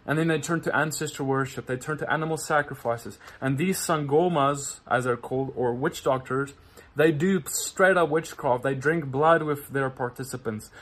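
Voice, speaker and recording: Earnest minister talking to camera. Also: male voice, medium pitch, moderate pace, a bit bright. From South Africa. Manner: earnest, repeats words often when searching for them. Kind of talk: casual video talk to viewers.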